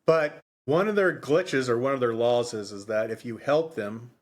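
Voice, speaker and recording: A man's voice: medium pitch, moderate pace. A man co-hosting a podcast in a casual book discussion, rambling and often repeating himself. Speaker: podcast co-host.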